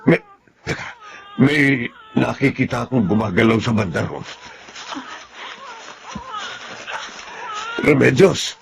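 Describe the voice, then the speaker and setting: A man's voice: mid-range voice, normal speed, gravelly, background noise, close to an everyday voice. An actor playing a humble, easygoing male rice farmer in a Filipino radio drama, speaking with a Filipino accent. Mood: urgent, surprised. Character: humble, good-natured countryman Andres, easygoing rice farmer.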